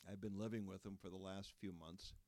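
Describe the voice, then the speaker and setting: A man's voice: low voice, fairly even intonation, brisk, darkish tone, no echo. A man voicing an earnest young man from Texas who patiently explains things.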